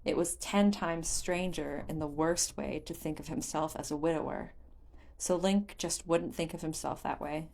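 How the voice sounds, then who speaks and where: A woman's voice: low-pitched, steady tone, normal speed, a little mellow. A woman reading scripted fantasy fiction aloud as an audiobook narrator.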